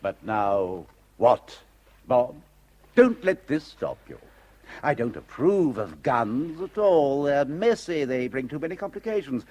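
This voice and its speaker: An actor playing a suave, condescending villain in a radio drama, urbane and patronising with old-fashioned upper-class phrasing. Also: male voice, mid-range voice, sing-song, slow, darkish tone. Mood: menacing. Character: suave, condescending male villain, ruthless and money-minded.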